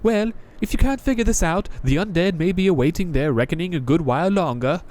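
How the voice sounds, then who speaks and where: A man's voice: fairly high pitch, a little fast, darkish tone, voice pushed up, close to an everyday voice. A man voicing a jaded, contemptuous female knight from a visual novel, with a sardonic, taunting manner. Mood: condescending. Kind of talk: visual novel character reading.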